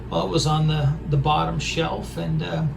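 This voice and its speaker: A hoarse man with a raspy voice talks in a halting, hesitant way while showing off records he has collected. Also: fairly high pitch, flat delivery. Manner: halting, hesitant, full of pauses. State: hoarse from a throat injury. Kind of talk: casual record haul show-and-tell.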